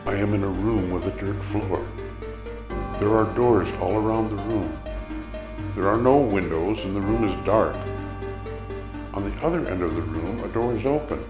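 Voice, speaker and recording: A man narrating a first-person dramatic story in a reflective, measured way, reading from a script. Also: fairly low pitch. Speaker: storyteller narrating his own audio play. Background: music.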